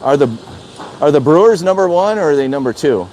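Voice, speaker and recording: A man's voice: on the high side, animated, a little fast, a little mellow, a little hiss or hum, rough recording. An older man from Wisconsin chatting casually on a live stream, rambling and repeating himself as he answers viewer comments. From Wisconsin, USA. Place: outdoor city balcony.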